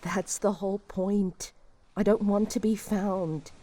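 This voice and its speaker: Woman playing a slow, resigned, despairing caller. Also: medium pitch, steady tone. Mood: despairing, resolute. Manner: quiet, halting, wistful, resigned. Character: despairing, resigned infected woman saying farewell. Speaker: helpline caller. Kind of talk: audio drama phone-call acting.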